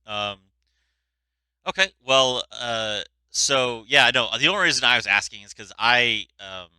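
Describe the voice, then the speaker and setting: A man's voice: mid-range voice, unhurried, bright, forceful tone, smooth, no echo. A man hosting a casual conversational interview, reacting and asking questions. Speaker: interviewer, host. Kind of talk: casual chat.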